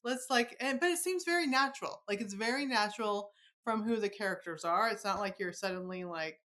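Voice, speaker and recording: A woman's voice: mid-range voice, fairly quick, a bit bright. A woman hosting a casual, chatty interview in a gushing, informal, enthusiastic manner. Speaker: podcast host interviewing an author.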